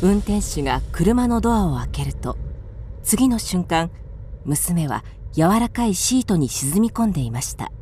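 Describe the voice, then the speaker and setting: A woman's voice: lowish voice, sing-song, unhurried, dark tone, a little hiss or hum. A woman narrating a radio drama from a script as its storyteller.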